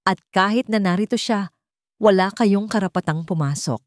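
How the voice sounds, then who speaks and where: A woman's voice: medium pitch, normal speed, no room sound, studio quality, natural voice. A woman voicing a cold, protective young billionaire man in a romance drama, speaking in a curt, firm and formal way. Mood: calm, firm.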